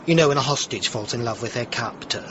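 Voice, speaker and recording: A man's voice: mid-range voice, quick pace, background noise, natural voice. An actor playing a wry, theatrical, put-upon British male assistant in a radio comedy drama. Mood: gossipy, spiteful. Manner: theatrical, wounded, droll. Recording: dull sound over clear background ambience.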